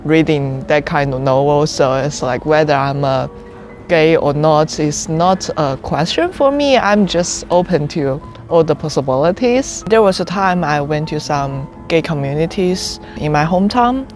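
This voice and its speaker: A woman's voice: low-pitched, lively intonation, a little slow, darkish tone, soft background music. Frank young woman from China, casual. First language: Chinese. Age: 20s. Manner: open, frank, with frequent restarts and laughs. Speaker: interviewee. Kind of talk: casual conversational answers. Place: rooftop terrace outdoors.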